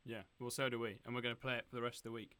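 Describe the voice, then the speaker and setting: A man's voice: medium pitch, flat delivery, rapid-fire, sharp tone, clear, resonant tone, dry recording. Male radio interviewer, friendly, encouraging, dry close sound.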